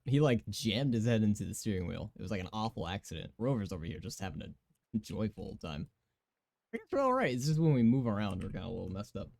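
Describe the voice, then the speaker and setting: A young man in his twenties with a raspy voice, chatting casually and giggling often as he streams a game. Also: lowish voice, no room sound. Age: 20s. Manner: giggly, rambling chatter full of fillers.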